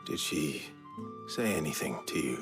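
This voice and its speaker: Deep-voiced, reserved young swordsman. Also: lively intonation. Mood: measured. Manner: reserved, measured, terse. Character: brooding, courteous young male swordsman on an errand. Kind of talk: video game cutscene acting. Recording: music behind the voice.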